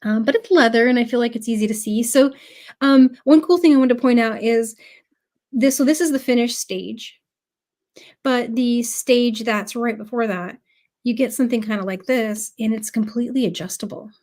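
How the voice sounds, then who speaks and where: Woman, slightly high pitch, nursing a cold; chatty live craft teacher. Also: moderate pace, darkish tone. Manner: chatty, many ums, greets viewers by name. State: has a cold. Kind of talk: casual live tutorial.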